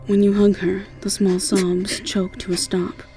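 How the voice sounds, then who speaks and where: A woman's voice: medium pitch, level tone, measured pace, warm tone, soft background music. A woman with an English accent narrating a scripted second-person story. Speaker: narrator and voice actor.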